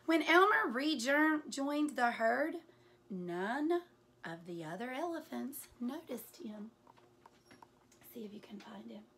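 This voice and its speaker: A woman in her forties reads a picture book aloud as a teacher would, in an animated, deliberate way with hushed asides. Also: fairly high pitch, slight background noise. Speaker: teacher reading aloud to children. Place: small furnished room indoors.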